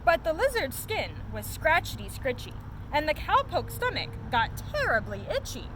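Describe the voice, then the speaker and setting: A woman hosts story time, reading a rhyming picture book in a sing-song way. Her voice is high and swoops up and down with plenty of expression. The recording has distinct background noise. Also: wildly swooping pitch, moderate pace, a bit bright, rough recording. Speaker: story time host and reader. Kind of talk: animated read-aloud of a children's picture book.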